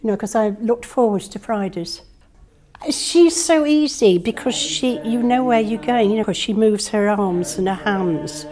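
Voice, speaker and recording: An older woman speaks in a mellow, even-pitched voice. She is chatty and down-to-earth, using plain, everyday phrasing. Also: a little slow. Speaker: singing group participant. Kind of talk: casual interview testimony.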